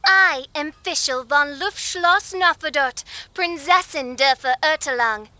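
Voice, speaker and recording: A woman's voice: high-pitched, slow pace, no echo, heavily acted. A woman voicing a theatrical, self-styled young princess in a video game, solemn and grandiose, with pompous archaic diction. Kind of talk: theatrical anime game voice acting. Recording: loud background music.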